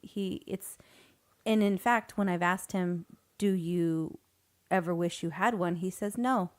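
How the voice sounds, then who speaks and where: A woman in her thirties co-hosts a relaxed podcast. She talks in an easy, chatty way about everyday home life. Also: on the low side, measured pace. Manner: chatty, laughs easily. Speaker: podcast co-host. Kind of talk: casual podcast chat.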